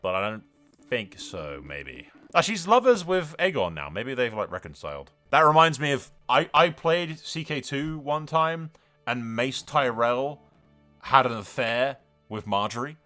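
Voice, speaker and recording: A man's voice: mid-range voice, very animated, measured pace, pushed and bright, soft background music. A man giving casual, improvised commentary on a strategy game playthrough, storytelling in role and swearing freely in a jokey, colloquial way. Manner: foul-mouthed, colloquial, jokey. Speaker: video game streamer narrating a playthrough.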